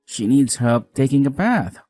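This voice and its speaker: Very animated, earnest husband acted by a man. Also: mid-range voice, measured pace. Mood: concerned. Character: apologetic, weary newlywed husband, caring and frank. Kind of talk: scripted dialogue, audio story drama.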